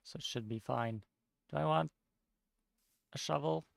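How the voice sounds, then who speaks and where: A young man with a Norwegian accent, chatting casually while streaming a game, somewhat monotone and mumbling with unclear diction. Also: fairly high pitch, measured pace, vocal fry, no echo, studio quality. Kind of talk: casual chat while gaming.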